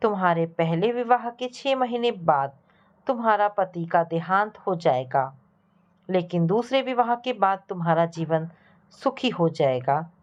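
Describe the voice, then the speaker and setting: A woman's voice: on the low side, lively intonation, a little slow, muffled recording. A woman narrator voicing a solemn male astrologer priest pronouncing fate in a story.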